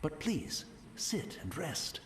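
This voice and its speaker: An actor playing a gentle, blind old tea master in a video game, humble and resigned, with courtly, wistful manners. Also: male voice, on the high side, wildly swooping pitch, takes it slowly, roomy sound, a little hiss or hum, full character voice. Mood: humble, courteous. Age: older. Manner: courtly, wistful, rambling politeness.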